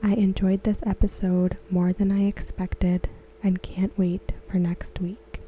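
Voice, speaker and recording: A woman reading aloud softly for ASMR, gentle and hushed, with a breathy voice that often slips into a whisper. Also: medium pitch, flat delivery, a little slow, a little hiss or hum, rough recording. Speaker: ASMR host. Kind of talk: ASMR, soft-spoken reading of discussion comments.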